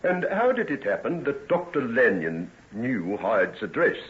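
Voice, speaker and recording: An actor playing a polite but persistent Victorian police inspector in an old-time radio drama. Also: male voice, medium pitch, sing-song, a little slow, a bit bright. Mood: methodical, persistent. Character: probing, methodical male police inspector of Victorian London. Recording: dull vintage radio recording.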